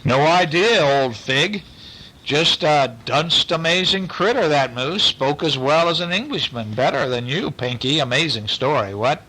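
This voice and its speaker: A man voicing a blustering, dismissive old English gentleman explorer in a storybook, with pompous exclamations and old-fashioned upper-class phrasing. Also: on the high side, animated, a little slow, voice pushed up, full character voice, poor audio. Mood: blustering, amused.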